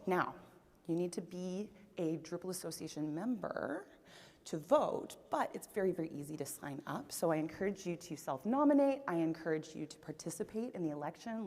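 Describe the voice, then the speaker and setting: A woman's voice: lowish voice, a little mellow. A woman hosting on a conference stage, giving friendly announcements with light laughs. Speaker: event host. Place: large conference hall. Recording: long hall reverb.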